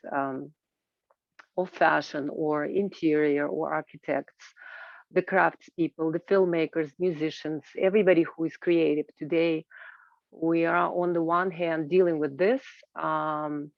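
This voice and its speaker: A woman panellist with a gravelly, creaky edge gives an informal talk over a video call. She speaks haltingly, with frequent filled pauses. The sound is dull and band-limited, close and dry. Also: deep voice, steady tone, no echo. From Kazakhstan. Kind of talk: informal spoken presentation at an online roundtable. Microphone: video call microphone.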